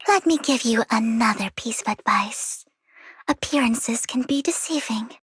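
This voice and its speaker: A woman playing an elegant, secretive, wealthy young lady in a video game, breathy and poised, with a teasing, deliberate manner. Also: fairly high pitch, no room sound, heavily acted. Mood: sly, confiding. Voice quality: breathy, hushed. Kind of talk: video game character acting.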